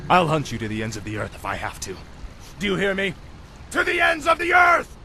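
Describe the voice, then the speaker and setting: Animated, headstrong young warrior prince. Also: male voice, sits high, brisk, vocal fry, a little hiss or hum, exaggerated voice. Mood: defiant, furious. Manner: intense, impatient, declamatory. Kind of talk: dramatic video game cutscene acting. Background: loud music.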